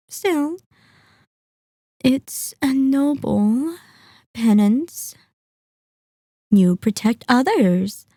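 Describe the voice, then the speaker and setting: A sadistic female vampire , acted by a woman, speaks in a dark, velvety voice at an extremely unhurried pace. The recording is dry and close, in ASMR roleplay style. She is seductive and possessive, condescending to her listener and savoring each phrase between pauses. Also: medium pitch, no room sound. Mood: mocking sympathy. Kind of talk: scripted ASMR roleplay monologue.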